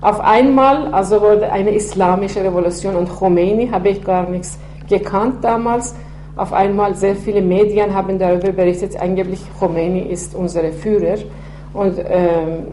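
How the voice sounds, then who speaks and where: A woman in her sixties from Iran, speaking German with an Iranian accent, telling her life story haltingly with many fillers. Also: medium pitch, mellow. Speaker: interviewee. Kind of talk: personal testimony. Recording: echoey room with faint hum.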